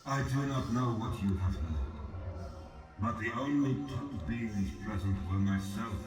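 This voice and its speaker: A man voicing an aloof, imperious ancient god in a video game, speaking in a solemn, formal and deliberate way. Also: fairly low pitch, lightly acted. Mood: solemn, guarded. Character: ancient exiled male god-emperor, aloof, measured, imperious. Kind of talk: scripted video game dialogue. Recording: echoing, distant voice over music.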